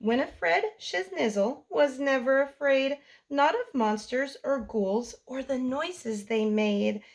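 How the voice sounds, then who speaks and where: High-pitched, unhurried woman reading storytime. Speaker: storytime host reading a picture book aloud to children. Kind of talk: read-aloud storytelling for young children.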